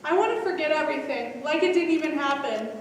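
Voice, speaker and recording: An anxious woman fretting in a stage play, acted by a woman. She talks fast in a high voice, far off in a very echoey hall. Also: expressive, a little hiss or hum. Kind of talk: school one-act play acting.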